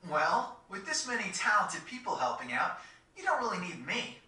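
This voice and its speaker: Sarcastic teen boy in a game, flippant, super bright voice, really echoey. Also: high-pitched, big pitch swings, normal speed, exaggerated voice. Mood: breezy. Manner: flippant, dismissive. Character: cocky, sarcastic teenage boy, street-smart and easygoing. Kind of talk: video game cutscene voice acting. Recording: echoey, slightly distant game audio.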